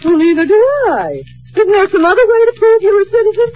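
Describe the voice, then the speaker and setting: An actress playing a fluttery, excitable American wife with a theatrical, gushing delivery in a 1940s radio sitcom. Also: female voice, very high voice, quick pace. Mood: gushing, excited. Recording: muffled old radio recording with music.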